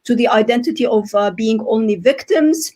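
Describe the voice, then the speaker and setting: A woman from Turkey whose first language is Turkish, speaking as a webinar panelist over a video call in a measured, reflective way. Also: fairly high pitch, dark tone. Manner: measured, reflective, checking in with tag questions.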